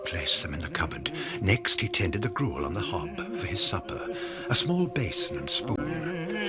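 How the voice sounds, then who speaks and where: Radio drama narrator: man, measured and slow, highly dynamic intonation, suspenseful theatrical delivery. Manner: theatrical, suspenseful, sometimes hushed, sometimes booming.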